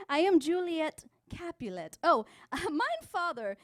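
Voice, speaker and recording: A lovestruck teenage noble maiden from a Shakespeare spoof. Her voice is extremely high and swoops widely, drawn out slowly for effect. She is theatrical, vain and exaggerated, mixing old-fashioned English with slang. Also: sing-song, no echo, studio quality, exaggerated voice. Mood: bubbly, boastful. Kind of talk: comic monologue in mock-Elizabethan English mixed with slang.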